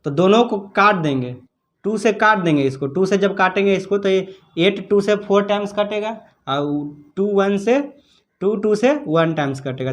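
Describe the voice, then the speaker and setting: A man with a noticeably high voice gives a step-by-step lesson. He speaks as a teacher, instructing and repeating each point so students can follow. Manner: repetitive, instructive. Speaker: chemistry teacher. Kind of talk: step-by-step exam problem lesson.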